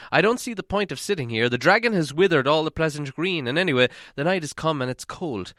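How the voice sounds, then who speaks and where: A man's voice: a little high, animated, fast, fairly bright tone, dry recording, voice pushed up. A man plays a small, worried male hobbit from a storybook. His voice sits raised and fretful, with hesitant, stammering phrases. It is recorded dry and close. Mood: uneasy, foreboding. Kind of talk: storybook character voice in audiobook reading.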